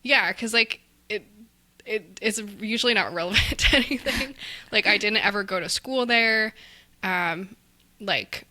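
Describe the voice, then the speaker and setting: A woman in her twenties from Texas, co-hosting a podcast in relaxed, casual chat full of likes and ums. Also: medium pitch, measured pace. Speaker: podcast co-host.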